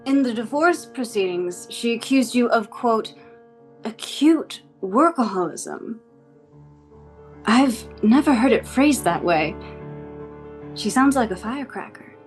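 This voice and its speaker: A woman acting as an eerily composed, menacing interrogator in a script table read, speaking formally and deliberately. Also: a little high, animated. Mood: coolly probing. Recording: music playing behind the voice.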